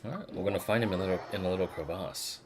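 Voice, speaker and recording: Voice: deep voice, very fast, noisy background. A game streamer giving casual, slangy commentary and reading along in an offhand way. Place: streaming setup, game audio behind.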